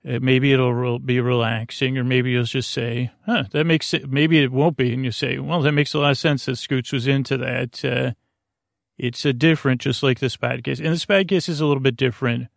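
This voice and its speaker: Male bedtime podcast host: heavy vocal creak, wandering halting delivery full of tangents, very dry recording. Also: medium pitch, steady tone, no room sound. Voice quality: creaky, gravelly. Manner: meandering, halting, frequent self-interruptions and trailing tangents. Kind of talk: rambling bedtime podcast monologue.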